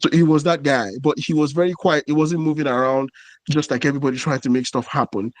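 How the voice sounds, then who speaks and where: A man's voice: a little high, fairly even intonation, quick pace, no echo. Man explaining music history in a dry room. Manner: explanatory, earnest, many fillers. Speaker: podcast co-host. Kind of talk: casual podcast discussion.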